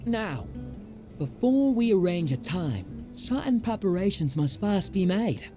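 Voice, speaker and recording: A man's voice: sits high, big pitch swings, unhurried, warm tone, soft background music. An actor playing a chatty, wry, cheerful townsman in a video game, with a glib, theatrical manner. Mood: upbeat, teasing.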